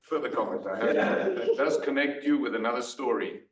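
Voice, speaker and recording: A man in the audience speaking loudly from the floor of a lecture hall, wry and chuckling. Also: mid-range voice, moderate pace. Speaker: audience member asking. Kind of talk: question from the audience. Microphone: far from the mic. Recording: roomy hall from far off.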